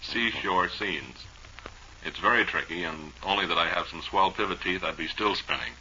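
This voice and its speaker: Low, bright-voiced man on old radio. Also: moderate pace. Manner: wry, rambling, playful. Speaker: radio host. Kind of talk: casual comic radio banter. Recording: dull old radio recording, faint noise.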